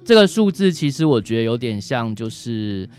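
A man's voice: mid-range voice, sing-song, moderate pace, a little mellow, faint music behind. A man with a Taiwanese accent, a doctor explaining conversationally as a radio guest, often ending phrases with short tag particles. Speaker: doctor answering questions, guest.

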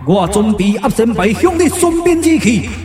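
A man with a Taiwanese accent voicing a boastful, scheming immortal warlord in a theatrical puppet radio drama, declaiming in drawn-out phrases. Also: high-pitched, sing-song, darkish tone. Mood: gloating, menacing. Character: menacing immortal warlord villain, boastful, scheming, easily thwarted. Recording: echoey reverb with music behind.

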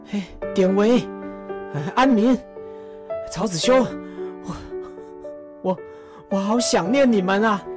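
A woman playing Cao Cao, a weary, lonely middle-aged warlord, speaking slowly with heavy sighs in an audio drama. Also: medium pitch, over music, natural voice. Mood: tearful joy. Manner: slow, heavy sighing delivery.

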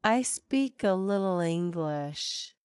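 A woman reading English example sentences slowly and evenly for learners to repeat. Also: on the low side, expressive, a little mellow, smooth, dry recording, studio quality. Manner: careful, evenly paced repetition.

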